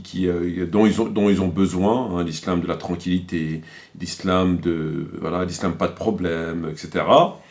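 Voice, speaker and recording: Male commentator, slightly deep voice, unscripted and halting delivery, indignant emphasis, addressing online viewers. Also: darkish tone. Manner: halting and repetitive, searching for words. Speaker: religious commentator and video presenter. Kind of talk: informal spoken critique addressed to viewers.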